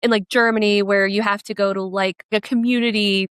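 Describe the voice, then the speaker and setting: A woman's voice: mid-range voice, steady tone, a little fast, fairly bright tone, clear, resonant tone, dry recording, clean studio sound. A woman hosting a casual interview, asking conversational questions as an attentive listener. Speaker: interviewer, podcast host.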